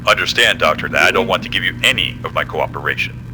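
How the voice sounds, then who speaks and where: A man's voice: low-pitched, lively intonation, quick pace, noisy background. A man playing a blunt, commanding, hard-nosed military general in an audio drama. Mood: angry, stern.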